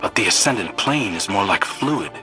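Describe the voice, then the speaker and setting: An actor playing a wary, steady field operative in a video game, guiding in a terse, dry, conversational way. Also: male voice, on the low side, moderate pace, loud music behind the voice, lightly acted. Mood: reflective. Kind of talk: scripted video game dialogue.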